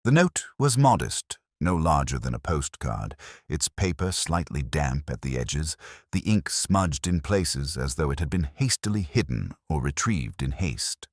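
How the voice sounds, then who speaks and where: A man reading a scripted first-person detective story in a measured, reflective, literary manner. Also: a bass voice, sing-song, no echo, studio quality. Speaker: audiobook-style story narrator.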